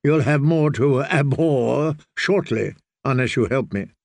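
Guy reading as a grim cold knight, slow and level, flat menace, dry close mic. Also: mid-range voice, creaky, no echo. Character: cold, grim one-handed knight, adult man.

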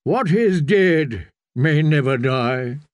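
A man's voice: a little high, lively intonation, slow pace, dry recording, clean studio sound. A man playing a fervent, commanding prophet priest of a sea god in a fantasy audiobook, preaching in solemn, incantatory oratory. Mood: solemn, ritual. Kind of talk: dramatised fantasy audiobook reading.

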